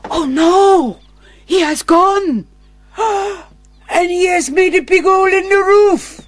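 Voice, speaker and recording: An actress playing a scheming, seductive señora in a radio drama, with a coquettish, theatrical manner. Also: female voice, very high voice, slow, slight background noise. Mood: dramatic alarm. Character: seductive, scheming, theatrical Latin American judge's wife.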